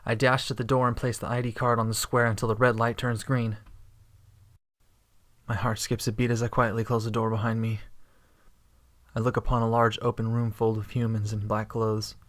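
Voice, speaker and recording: A man reading scripted first-person sci-fi narration in a steady, understated way. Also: fairly low pitch, moderate pace. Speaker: narrator and protagonist.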